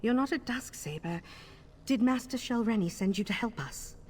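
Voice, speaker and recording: A woman voicing a wary, blunt vampire mercenary in a fantasy video game, husky and plainspoken. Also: on the high side, normal speed, darkish tone. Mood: grim, wary. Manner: guarded, plainspoken.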